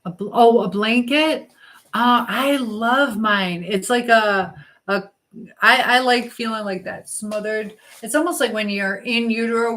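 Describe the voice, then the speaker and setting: A woman's voice: fairly high pitch, a bit bright. Motherly middle-aged woman chatting on a livestream. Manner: motherly, advising, addresses viewers directly. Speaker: livestream host. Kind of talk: casual live chat, answering viewers' comments.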